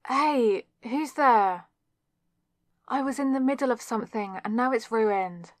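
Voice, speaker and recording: Girl voice actor doing a shy lovestruck young witch, asmr roleplay, talks to herself kinda slow, super dry close sound. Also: on the high side, no echo, natural voice. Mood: annoyed, indignant. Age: young adult. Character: nervous lovestruck young student witch, secretly crushing on classmate. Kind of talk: ASMR audio roleplay, scripted solo monologue.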